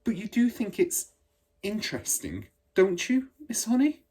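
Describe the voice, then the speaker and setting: An excitable little storybook schoolgirl, acted out by a man reading aloud, pitched up and slightly husky. She is eager and inquisitive, with a breathless delivery that swings about in pitch. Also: unhurried, heavily acted. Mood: eager, curious. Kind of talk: children's storybook read aloud.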